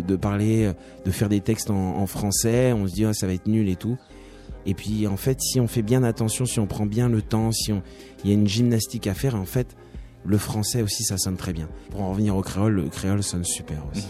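A musician guest, a man from France, talking in a relaxed, chatty way with many restarts. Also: lowish voice, fairly quick, soft background music. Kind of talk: casual interview talk.

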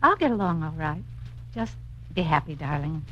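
A woman's voice: low-pitched, big pitch swings, normal speed, sharp tone, heavily acted. A woman playing a playful, scheming wife in theatrical, chatty 1950s radio comedy style. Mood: coy, wistful. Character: scheming playful wife, posing as brash lowbrow nightclub singer. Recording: muffled noisy 1950s radio broadcast.

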